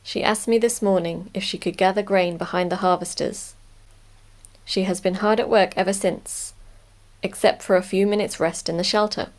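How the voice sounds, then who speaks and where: A woman's voice: medium pitch, steady tone, moderate pace, a little mellow, close to an everyday voice. A woman playing a dutiful harvest foreman in a dramatised Bible reading. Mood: matter-of-fact.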